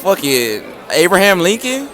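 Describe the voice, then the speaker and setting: A man's voice: sits high, big pitch swings, measured pace. A teenage boy bantering casually as a student interviewee. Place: busy high school grounds. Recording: loud crowd chatter, off the mic.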